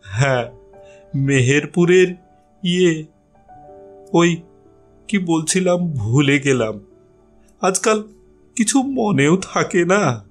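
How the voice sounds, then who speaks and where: A man performs a querulous, forgetful old grandfather in an audio drama. He speaks slowly and plaintively, rambling and repeating as he goes. Faint music runs beneath him. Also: on the high side, very animated, voice pushed up, full character voice. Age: older. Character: frail, forgetful, querulous old grandfather craving his son's care. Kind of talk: Bengali audio story acting.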